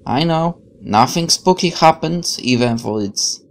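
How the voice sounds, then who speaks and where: A man in his twenties reading game text aloud with excitable, chatty asides and some stumbles. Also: a little high, unhurried. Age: 20s. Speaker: gaming video host. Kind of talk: game let's play, reading aloud and reacting. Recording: faint background noise.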